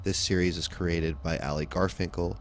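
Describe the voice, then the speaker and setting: A man's voice: deep voice, fairly even intonation, moderate pace, a little hiss or hum. A man hosting a podcast, reading out a scripted announcement to listeners. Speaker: show host.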